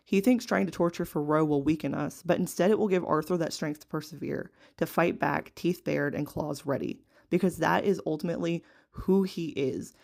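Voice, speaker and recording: Creaky-voiced listener reading a prepared message. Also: mid-range voice, darkish tone. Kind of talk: prepared argument read aloud.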